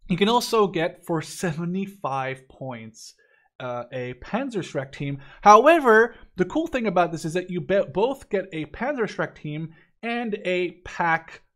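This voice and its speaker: Male gaming host, twenties, from the Netherlands, slightly high-pitched voice, casual and opinionated review delivery. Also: very animated, unhurried, fairly bright tone. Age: 20s. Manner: opinionated, informal with swearing. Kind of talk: casual game review commentary.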